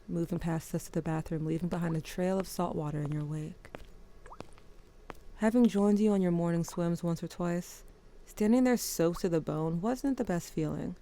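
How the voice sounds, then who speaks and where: Woman playing a subdued, mellow young narrator. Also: lowish voice, normal speed, creaky, a little hiss or hum. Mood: somber, reflective. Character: young narrator recounting family memories, wry, subdued, haunted. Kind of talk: scripted first-person audio drama narration.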